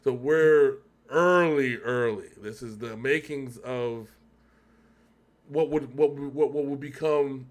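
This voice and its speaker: A man's voice: mid-range voice, slow pace. A man hosting a casual video reaction, speaking in an emphatic, rambling way as he thinks aloud. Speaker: reaction show host.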